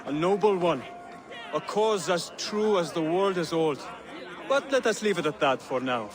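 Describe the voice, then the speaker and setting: Crowd chatter behind, slightly high voice, polite young apprentice played by a man, reserved, formal, game. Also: expressive, moderate pace, lightly acted. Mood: polite, guarded. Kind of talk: dramatic game cutscene dialogue.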